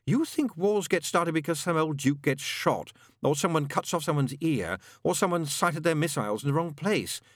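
Man voicing a weary, fatalistic demon, swooping pitch. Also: moderate pace, no room sound, clean studio sound, heavily acted. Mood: gloomy, exasperated. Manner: flat, gloomy, exasperated explaining. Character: weary, fatalistic male demon, sardonic adult. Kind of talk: audiobook character dialogue.

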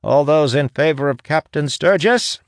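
A man's voice: a little high, moderate pace, dry recording, clean studio sound, pitched above the performer's own voice, close to an everyday voice. A man voicing a formal scribe who proclaims a vote to a gathering in a declamatory, projected voice, in a fantasy audiobook. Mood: formal, proclaiming.

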